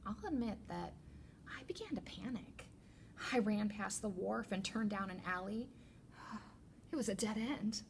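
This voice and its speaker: A woman reading a children's picture book aloud as a teacher librarian, with deliberate, expressive storytelling. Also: medium pitch, creaky, a little hiss or hum.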